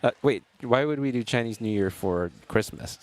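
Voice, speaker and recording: A man's voice: mid-range voice, expressive, normal speed. A man commentating live pickleball in an indoor gym, chatty and rambling, often chuckling mid-sentence. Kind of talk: casual live sports commentary.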